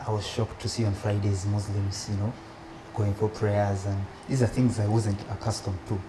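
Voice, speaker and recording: A man speaking as an interview guest, telling stories about his school years in a relaxed way, with frequent laughs and restarts. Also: on the low side, moderate pace, background noise. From Uganda. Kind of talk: relaxed conversational storytelling.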